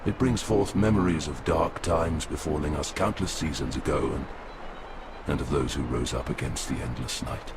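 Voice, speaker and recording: A man's voice: very deep voice, moderate pace, very noisy. A man voicing an ancient, proud, melancholy stag-headed forest king in a video game, speaking in solemn, grandiose, poetic pronouncements. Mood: ominous, solemn. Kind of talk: video game cutscene acting.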